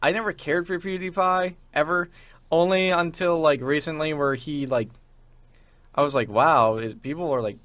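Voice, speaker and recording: Guy hosting a chat show, kinda high voice, super lively, rambles n acts out quotes, dry close studio sound. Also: moderate pace, no room sound. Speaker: show host. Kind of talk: casual chat about games and internet culture. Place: small radio studio.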